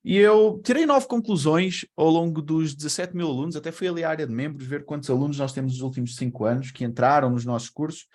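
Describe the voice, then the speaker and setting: A man's voice: fairly high pitch, fast talker, few pauses, darkish tone. A man with a European Portuguese accent, born in Brazil, giving a webinar talk in a conversational way and asking rhetorical questions. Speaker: webinar speaker. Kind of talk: presentation to an online audience.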